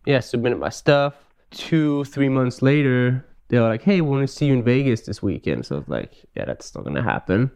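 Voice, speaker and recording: A man from Switzerland, a guest telling stories in a relaxed, easygoing way on a casual podcast interview. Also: medium pitch, brisk, clean tone. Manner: relaxed, easygoing storytelling with frequent "you know". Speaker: podcast guest, live show dancer.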